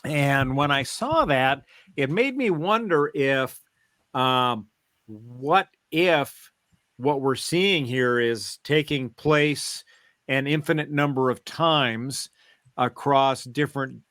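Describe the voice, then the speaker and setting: Man, podcast co-host, slow halting delivery, casual explainer, dry close sound. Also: mid-range voice, animated, a bit bright, no echo. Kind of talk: casual fan discussion, recounting and theorizing.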